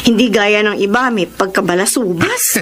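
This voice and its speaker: A Filipino actress playing a friendly, teasing storekeeper friend in a radio drama. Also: female voice, mid-range voice, lively intonation, normal speed, fairly bright tone, husky. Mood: warm, teasing.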